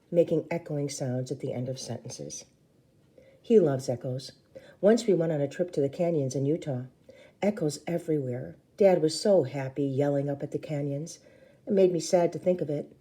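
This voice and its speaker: A woman reading a children's book aloud in an expressive, theatrical way, acting out the dialogue. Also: deep voice. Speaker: storybook reader.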